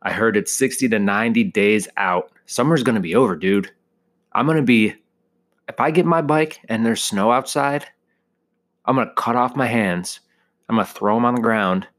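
American guy in his 30s, deep voice, just rambling casually, kinda self-deprecating and jokey. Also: moderate pace, a bit bright. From United States. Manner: joking, self-deprecating exaggeration. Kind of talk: casual rambling solo monologue.

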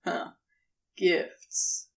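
A woman's voice: extremely slow, distant mic. A woman voicing a sly, smug male serpent demon in a storybook reading, with a teasing manner.

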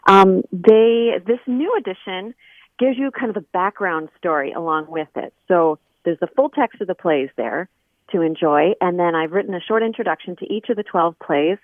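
A woman's voice: lowish voice, moderate pace, dry recording. A woman guest explaining her scholarly work informally and conversationally in an interview over a remote call. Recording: thin phone-like line.

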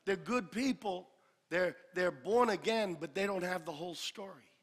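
Pastor guy preaching loud, high bright voice, big echoey church hall. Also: moderate pace, slightly rough. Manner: builds to shouted climaxes, then drops to a jokey aside. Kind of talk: sermon. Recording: long hall reverb.

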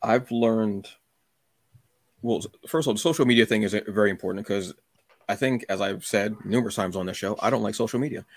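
A man with a fairly low voice hosts a relaxed podcast conversation in a dry, echo-free recording. He speaks candidly, sometimes halting and repeating words as he finds his way. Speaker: podcast host. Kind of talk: casual interview chat.

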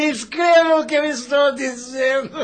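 A man's voice: very high voice, steady tone, slow, a bit bright, exaggerated voice. An actor playing a bitter, boastful, hard-drinking man in a radio drama, haughty and theatrical, with a Brazilian accent. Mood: grandiose, defiant.

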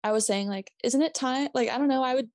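A woman's voice: high voice, quick pace, a little mellow, no room sound, studio quality. A woman with a Minnesotan accent co-hosting a casual podcast, rambling, restarting herself, laughing and swearing as she talks. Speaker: podcast co-host. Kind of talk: casual chat.

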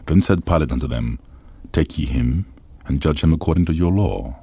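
A man narrating scripture in a grave, deliberate, formal reading style. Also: very deep voice, mellow, slightly rough, no room sound. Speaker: Bible reader.

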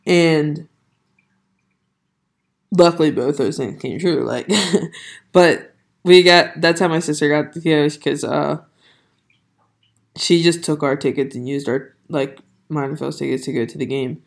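A young adult host chats alone on a podcast, the voice still hoarse and not fully recovered. The delivery is flat and even, with little rise and fall, and it wanders from point to point with many ums and restarts. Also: medium pitch, measured pace, pauses often. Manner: rambling, filler-heavy, self-correcting. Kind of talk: casual solo podcast chat.

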